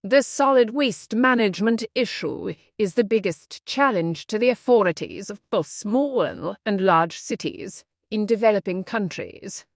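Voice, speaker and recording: Woman, scripted read-aloud, medium pitch, expressive melody, exam practice reader. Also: no room sound, clean studio sound.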